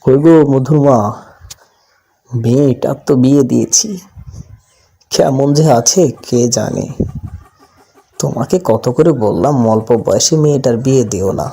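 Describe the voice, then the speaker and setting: A man voicing a worried, poor village father in a cartoon, speaking softly and anxiously. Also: mid-range voice, unhurried, vocal fry, pauses often, slightly rough. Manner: soft, anxious, fretting.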